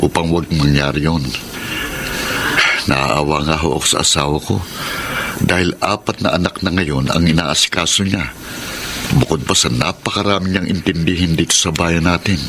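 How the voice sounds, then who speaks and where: A man's voice: extremely low pitch, steady tone, normal speed, long pauses, noisy background. An actor playing a caring, dutiful Filipino husband and foster father in a radio drama, speaking respectfully and sincerely. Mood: concerned.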